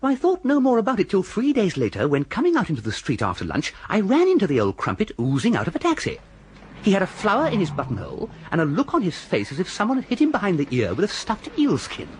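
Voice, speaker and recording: An actor playing a breezy, dithering young English gentleman with an upper-class accent, who narrates and acts in a radio comedy. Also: sits high, huge pitch swings, fast, breathy edge, exaggerated voice. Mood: breezy narrating. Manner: hesitant, bumbling, flippant. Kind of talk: full-cast radio comedy drama with narration.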